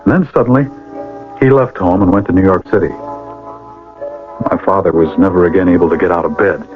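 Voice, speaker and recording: An actor playing a stern, responsible older brother in a radio drama. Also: male voice, sits low, moderate pace, over music, close to an everyday voice. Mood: sober narrating. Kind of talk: 1980s radio drama acting with narration. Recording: tinny old radio broadcast.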